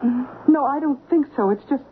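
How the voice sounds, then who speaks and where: An actress playing a sharp, earnest, resolute household assistant in a 1970s radio drama. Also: female voice, high-pitched, fairly quick, phone-quality sound, lightly acted. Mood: uneasy. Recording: thin, faintly noisy 1970s radio broadcast.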